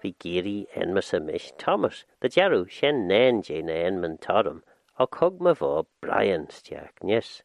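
A man's voice: low voice, sing-song, measured pace, vocal fry, no room sound. A man reading an autobiography aloud as an audiobook. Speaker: reader of an autobiography.

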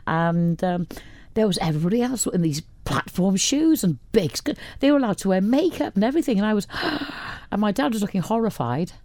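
A woman's voice: mid-range voice, animated, fairly quick, few pauses, darkish tone. A woman from Wales in her later years, a radio guest chatting casually about her life, rambling with lots of restarts. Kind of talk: casual interview chat.

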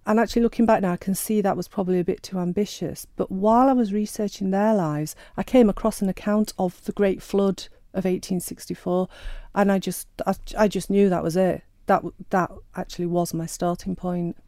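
Author guest, woman, mellow lowish voice, chatty and hesitant, dry close sound. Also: fairly even intonation, brisk, no echo. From Sheffield, England. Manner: chatty, hesitant with many ums and restarts. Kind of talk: radio interview.

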